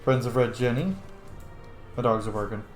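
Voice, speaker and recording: A man's voice: medium pitch, steady tone, normal speed, faint music behind. A man hosting a gameplay video with casual, informal commentary, muttering to himself as he goes. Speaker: gaming video host. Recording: roomy echo, faint background noise.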